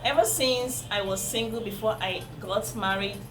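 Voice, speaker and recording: A woman's voice: medium pitch, normal speed, low-quality recording. A woman with a Nigerian accent, a native Igbo speaker, giving earnest parenting advice straight to her viewers and repeating key points for emphasis. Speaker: parenting vlogger. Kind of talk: advice talk to camera. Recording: music playing under the voice.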